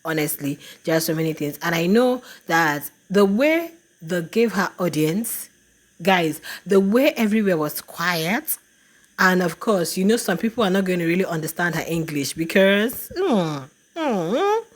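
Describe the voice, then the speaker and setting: A woman's voice: lowish voice, a little slow. A woman vlogger casually reacting to a reality show, talking to her viewers and acting out what others said in a raised voice. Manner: animated, quotes others in a raised voice.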